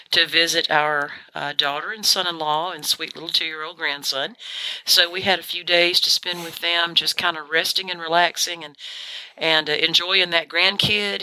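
An older woman with a very sharp, bright voice that sits low after laryngitis. She chats in a folksy, effusive way as a podcast co-host, in a dry recording. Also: no room sound, poor audio. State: lowered voice after sore throat and laryngitis. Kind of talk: casual conversational podcast chat.

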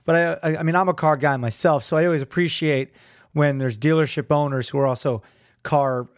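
A man's voice: fairly high pitch, steady tone, brisk, dry recording, clean studio sound. A man hosting a podcast in a casual, upbeat, chatty interview style. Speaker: podcast host.

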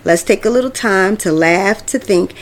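A woman's voice: mid-range voice, normal speed. A woman reading the upbeat scripted introduction to her own podcast. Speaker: podcast host.

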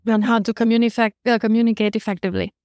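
A woman's voice: on the high side, flat delivery, fast talker, darkish tone, dry recording. Brief: woman, Romanian accent, co-host, searches for words at times, dry mic. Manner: sometimes searches for words, friendly. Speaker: podcast co-host. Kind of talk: casual podcast conversation.

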